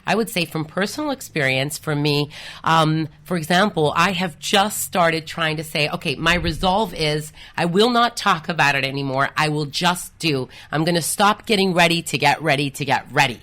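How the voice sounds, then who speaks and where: A woman co-hosting a radio talk show, telling stories in a lively, animated way with bursts of laughter, in a radio studio. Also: low-pitched, normal speed. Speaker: co-host, financial planner.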